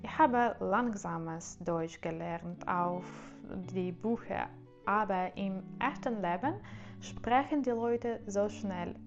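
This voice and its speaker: A woman's voice: mid-range voice, slow pace, gravelly, muffled recording, soft background music. A young woman whose native languages are Russian and Ukrainian, speaking with an accent in a casual vlog, careful and a little hesitant with fillers. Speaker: vlogger.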